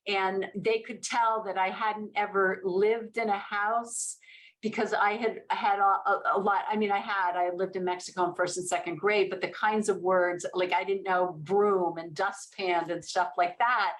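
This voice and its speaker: A woman hosting a casual podcast interview at a middle pitch. She keeps a relaxed, conversational tone and often answers her guest with a quick yeah. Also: a little hiss or hum, rough recording. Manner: conversational, affirming with frequent yeahs. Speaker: podcast host.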